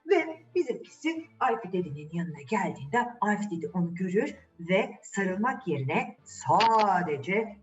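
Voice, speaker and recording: A woman's voice: mid-range voice, far from the mic, lo-fi sound. A woman storyteller and host telling a children's fairy tale in a chatty way, putting questions to her young listeners.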